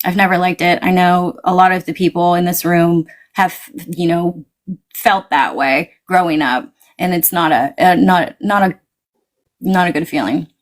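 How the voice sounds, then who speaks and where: A woman guest in casual conversation, talking animatedly, swinging her pitch up and quoting her own reactions. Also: steady tone, normal speed.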